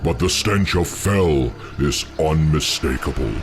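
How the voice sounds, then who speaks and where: A man playing a solemn, wise orc seer in a fantasy game, talking in a measured, ominous way. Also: rumbling low, lively intonation, soft background music, full character voice. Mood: solemn, foreboding.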